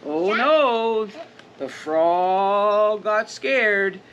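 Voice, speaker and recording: A woman's voice: mid-range voice, lively intonation, drawn-out words, a bit bright, highs cut off, a little hiss or hum. A woman hosting a children's storytime, reading aloud and prompting young listeners with simple questions. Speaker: storytime host and reader.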